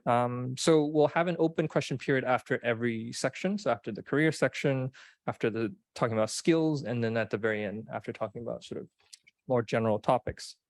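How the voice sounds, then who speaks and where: A man's voice: medium pitch, brisk, dry recording. A young man moderating a seminar panel, introducing it hesitantly and often restarting his phrases.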